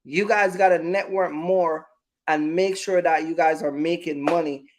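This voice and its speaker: A man's voice: fairly high pitch, fairly even intonation, unhurried, noisy background. A man talking informally to viewers as a business vlogger, giving emphatic, repetitive advice and often checking that they follow.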